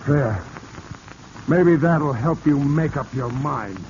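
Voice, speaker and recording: An actor playing a smooth, insinuating, commanding male blackmailer in a 1940s radio drama. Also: fairly high pitch, takes it slowly. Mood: hard, threatening. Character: scheming male blackmailer, smooth, menacing, falsely sweet to women. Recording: muffled, noisy vintage 1940s radio recording.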